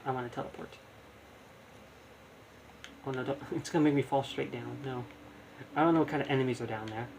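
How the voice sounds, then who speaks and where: A man giving casual, halting live commentary as he streams a video game, often repeating his words. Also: medium pitch, gravelly, darkish tone, slight background noise, poor audio.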